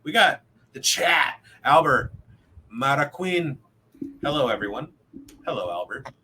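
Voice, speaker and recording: Upbeat host guy, bright lively voice, bit of hiss behind him. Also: medium pitch, a little slow. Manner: upbeat, teasing, energetic. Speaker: podcast host. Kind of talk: casual podcast chat. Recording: faint background hiss.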